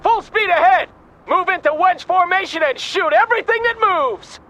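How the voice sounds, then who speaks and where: An actor playing a cocky, eager tank captain barking forcefully in a video game. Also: male voice, very high voice, normal speed, slight background noise. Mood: gung-ho, excited. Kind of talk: video game action acting.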